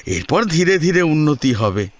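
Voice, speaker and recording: A man voicing a humble, deferential father who politely and haltingly pleads for a marriage match in an audio drama. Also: fairly high pitch, very animated, no echo, voice pushed up. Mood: humble pleading.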